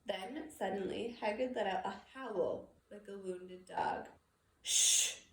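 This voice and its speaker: A woman reading a novel aloud as expressive scripted storybook narration. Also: medium pitch, a little slow. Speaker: storybook reader. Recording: echoey room with background noise.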